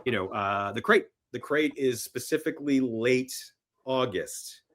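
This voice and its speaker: A man as a podcast guest chatting casually and enthusiastically about films, telling stories in an animated, emphatic way. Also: mid-range voice, unhurried. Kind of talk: enthusiastic casual film chat.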